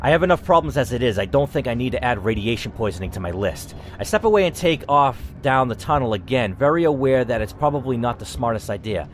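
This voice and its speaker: A man's voice: mid-range voice, lively intonation, quick pace, few pauses, slight background noise. A young man hosting a gaming channel, reading an interactive story game aloud and breaking off into casual, swearing asides.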